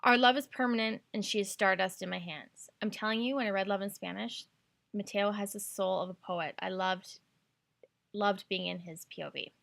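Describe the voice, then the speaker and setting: A woman's voice: mid-range voice, steady tone, normal speed, creaky, fairly bright tone. A woman hosting a book podcast in an enthusiastic, gushing manner. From Canada. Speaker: podcast host. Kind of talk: book recommendation podcast, casual talk with read-out blurbs and quotes.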